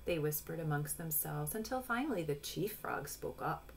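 Female storybook reader, forties, low voice, instructive asides, noisy home webcam sound. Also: lively intonation. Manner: instructive, addressing young children, pausing to point things out. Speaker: picture book read-aloud reader. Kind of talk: storybook read-aloud with explanatory asides. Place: small room at home.

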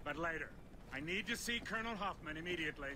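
A man's voice: a little high, animated, quick pace, close to an everyday voice. A man playing a formal, authoritative political chairman in a video game, clipped and imperious, in an echoey noisy mix.

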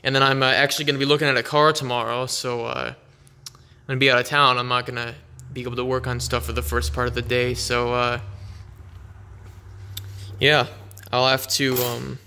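A man's voice: medium pitch, little pitch movement, normal speed, pauses often, pushed and bright, clear, resonant tone. A man giving casual, unscripted vlog commentary in a laid-back, rambling way, recorded on a handheld phone in a garage. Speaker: vlogger.

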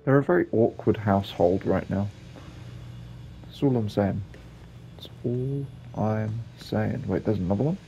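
Low, gravelly, mellow gamer guy. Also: unhurried, pauses often, lo-fi sound, a little hiss or hum. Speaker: gaming video creator. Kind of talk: casual let's-play commentary.

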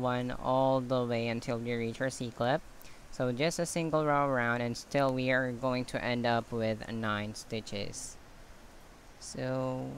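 A man giving a quiet step-by-step craft tutorial, counting stitches as he works off camera. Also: mid-range voice, slight background noise. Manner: slow, quiet counting while working with hands. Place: indoors at a table. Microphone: camera on hands, speaker off screen.

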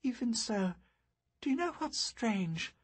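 A man voicing a soft-spoken young woman. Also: very high voice, big pitch swings, slow pace, slightly rough, voice pushed up, heavily acted. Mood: sorrowful. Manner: soft and hushed. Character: sad young woman, gentle and thoughtful.

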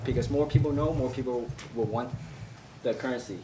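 A young man giving a casual tech talk at a meetup, with many fillers and pauses. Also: medium pitch, background noise. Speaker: meetup presenter. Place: office meeting room with audience. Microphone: far camera. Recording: roomy sound from far off.

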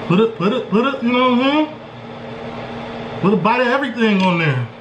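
An American man from Chicago in his thirties, chatting casually and boastfully in slang as an animated vlog host in a home kitchen. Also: very high-pitched, normal speed, muffled recording. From Chicago, United States. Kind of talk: casual excited cooking vlog chat. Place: small home kitchen, indoors. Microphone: camera, handheld or on the counter. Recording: echoey kitchen, clearly noisy background.

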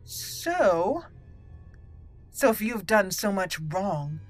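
A woman hosting a roleplay let's play, musing aloud and talking in character with deliberate, halting, dramatic emphasis. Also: medium pitch, very animated, soft background music. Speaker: gaming channel host, roleplayer.

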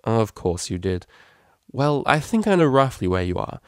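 A man's voice: mid-range voice, very animated, moderate pace, a little mellow, smooth, dry recording, studio quality, close to an everyday voice. Man voicing a prim, refined mare. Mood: resigned, caring. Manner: prim, formal wording. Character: refined, proper, caring female cellist pony.

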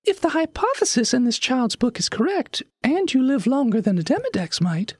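A woman's voice: high-pitched, sing-song, moderate pace, breathy edge, dry recording, clean studio sound, heavily acted. A woman voicing a bookish, inquisitive little girl in an audio story, with formal, pedantic, articulate speech. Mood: pedantic, curious. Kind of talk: acted audio story dialogue.

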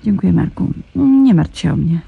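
An actress playing a persistent, self-assured investigative journalist in a radio drama, probing and ironic. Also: female voice, lowish voice, expressive, moderate pace, vocal fry, husky, narrow, tinny audio, heavily acted. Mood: calm. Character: persistent female investigative journalist, shrewd and cool. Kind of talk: 1990s radio drama acting.

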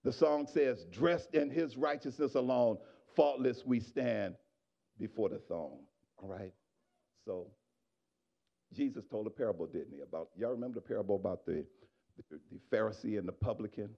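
A middle-aged man preaching a sermon in a church, on a handheld microphone, swinging from shouted exhortation to quiet asides to the congregation. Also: fairly low pitch, normal speed, creaky, lo-fi sound. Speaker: pastor. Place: church sanctuary.

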